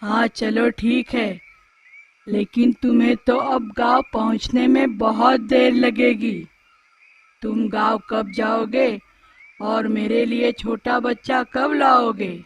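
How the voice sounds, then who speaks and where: A cruel old witch from a children's cartoon, acted out by a man, speaks in a piercingly high voice. She draws her words out very slowly and menacingly. Loud music plays behind her. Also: fairly even intonation, gravelly, exaggerated voice. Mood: impatient, eager. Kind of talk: children's fairy-tale cartoon acting.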